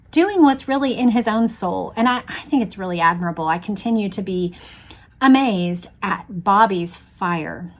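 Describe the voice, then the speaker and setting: A woman with a fairly high voice hosts a read-along. She reads narrative prose expressively and slips into casual, engaged commentary. She speaks directly to the people listening. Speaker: host reading a book aloud with commentary.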